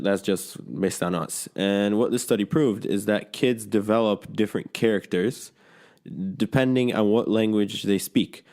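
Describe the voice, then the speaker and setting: A man's voice: lowish voice, a little mellow, clean tone. A man who speaks Polish at home, co-hosting a podcast in casual, rambling chat full of restarts and fillers. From grew up between a Polish home and an English-speaking school. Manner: rambling, many restarts and fillers, swears freely. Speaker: podcast co-host.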